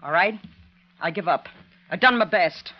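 A woman's voice: fairly low pitch, expressive, unhurried. An actress playing a tough, defiant woman villain with folksy frontier speech in an old-time radio western. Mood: bitter resignation. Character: cornered woman villain disguised as older ranch owner, defiant. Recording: dull vintage radio recording.

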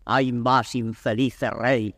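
Anguished old king, slow and echoing. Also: mid-range voice, level tone, fairly bright tone. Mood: anguished lament. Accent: Castilian Spanish. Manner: declamatory, grave, drawn-out delivery. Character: anguished defeated old king, a father facing fate. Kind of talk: classical Spanish verse theatre acting. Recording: reverberant hall, vintage studio drama record.

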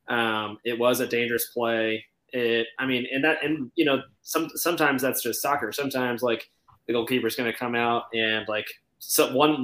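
A podcast co-host giving his view haltingly, with many "um"s and false starts. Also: mid-range voice, a bit bright. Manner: hesitant, many "um"s and restarts. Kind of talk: casual sports debate. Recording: echoey room.

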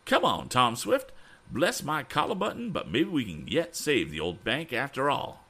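A man voicing an excitable, exuberant older gentleman in a storybook, with breathless, exclamatory delivery. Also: mid-range voice, normal speed, husky, voice pushed up, full character voice. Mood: eager. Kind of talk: audiobook character voice.

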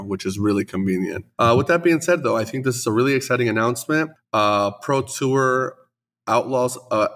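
A man's voice: fairly low pitch, a bit bright. A man co-hosting a podcast, congested after the flu, chatting casually and enthusiastically with the odd self-deprecating joke. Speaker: podcast co-host and store owner.

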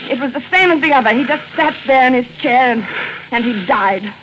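An actress playing a calm, sinister wife confessing to poisoning in a 1940s radio drama. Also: female voice, high-pitched. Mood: coolly sinister. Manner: quiet, measured, coolly sinister. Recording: dull old radio broadcast with hiss.

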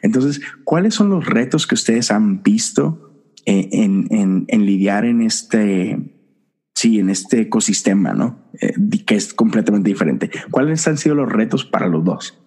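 A man's voice: fairly low pitch, animated, unhurried, darkish tone, husky. A man hosting a casual conversation, asking questions and affirming answers. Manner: friendly questioner with many affirming murmurs. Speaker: interview host. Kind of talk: casual chat.

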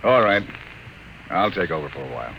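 An actor playing an authoritative, composed military colonel with a brisk manner, in 1950s radio drama style. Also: male voice, sits low, very animated, fairly bright tone, slight background noise, full character voice, poor audio.

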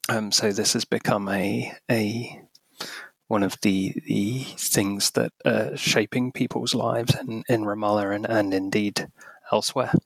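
A man's voice: on the low side, creaky, a bit bright, slightly rough, dry recording. A man in his forties with a scratchy voice from illness, speaking hesitantly as a guest in a podcast interview. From United Kingdom. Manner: hesitant, many ums, thoughtful. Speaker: author and academic guest.

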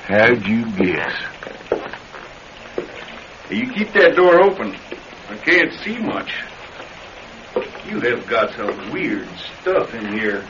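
An actor playing a rough, suspicious intruder with a blunt, folksy, ungrammatical way of talking in a radio drama. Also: male voice, on the low side, very animated, takes it slowly, pauses often. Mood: wary. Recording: dull, slightly noisy old radio recording.

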